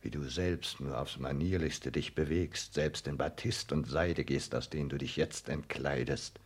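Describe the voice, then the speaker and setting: An actor playing a self-important, calculating social climber, delivering a declamatory, brooding monologue in a 1960s German radio play. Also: male voice, very deep voice, fairly even intonation, unhurried, breathy edge, heavily acted. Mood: ardent, solemn.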